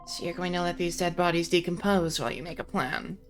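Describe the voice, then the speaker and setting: A woman's voice: fairly low pitch, brisk, music in the background, close to an everyday voice. A woman voicing an earnest young woman character while reading a fan visual novel aloud on stream.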